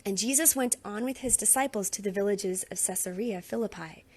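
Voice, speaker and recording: Woman, scripture reader, slightly husky voice, mid pitch, expressive storytelling delivery. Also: fairly quick. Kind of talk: expressive read-aloud of Bible narrative.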